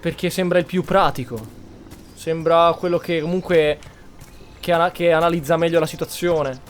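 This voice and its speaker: A man's voice: fairly high pitch, steady tone, brisk, slight background noise. A man giving casual commentary as he plays through a video game. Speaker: gameplay streamer.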